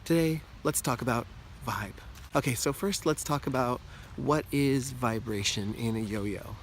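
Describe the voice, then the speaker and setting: A man hosting his own channel, talking casually to camera with a slightly hoarse voice from a cold, explaining things in a relaxed, rambling way. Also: medium pitch, unhurried, slight background noise. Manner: relaxed, rambling explanation with frequent "you know" and "like". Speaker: yo-yo channel host.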